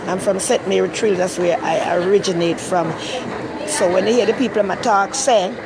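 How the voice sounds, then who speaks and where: A woman's voice: mid-range voice, fairly even intonation, normal speed, creaky, a loud crowd, poor audio. A woman from Jamaica with a Jamaican accent, hosting a rambling, chatty live stream from a busy city station area and greeting viewers by name. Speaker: talk show host. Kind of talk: casual live-stream chat. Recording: loud street and traffic noise.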